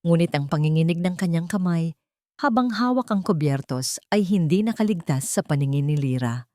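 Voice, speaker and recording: A woman's voice: on the low side, expressive, normal speed, mellow, no room sound, clean studio sound. A woman reading scripted, dramatic story narration.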